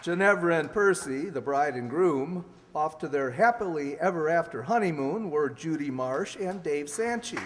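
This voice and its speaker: An actor playing a genial host and announcer of an old-time sponsored radio show, formal yet chummy. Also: male voice, on the high side, expressive, moderate pace, few pauses, natural voice. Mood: genial, showy. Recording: echoey hall, faint noise.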